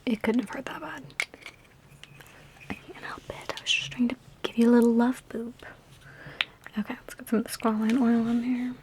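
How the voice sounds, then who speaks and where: A woman in an ASMR roleplay speaking in a soft, breathy whisper mixed with hushed talk, with a sassy, teasing, chatty big-sister manner, close to the microphone. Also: on the high side, steady tone, normal speed, pauses often, noisy background. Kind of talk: ASMR personal attention roleplay.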